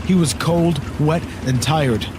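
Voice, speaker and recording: A man's voice: on the high side, takes it slowly, noisy background. A man narrating a scripted horror audio drama, with storm and engine sound effects behind him. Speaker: story narrator. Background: loud storm and vehicle ambience.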